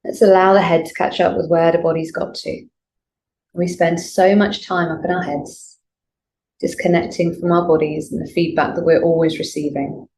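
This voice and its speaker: A woman with a slightly low voice leads a guided relaxation as a yoga nidra teacher. She speaks gently and soothingly, leaving space between her instructions. There is noticeable background noise and ambience in the recording. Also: flat delivery, normal speed, rough recording. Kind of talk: guided meditation.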